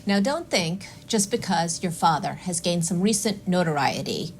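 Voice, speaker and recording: An actress playing a stern, unsympathetic academic adviser in an audio drama, firm and official in manner. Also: female voice, fairly low pitch, lively intonation, moderate pace, slight background noise. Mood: stern, admonishing.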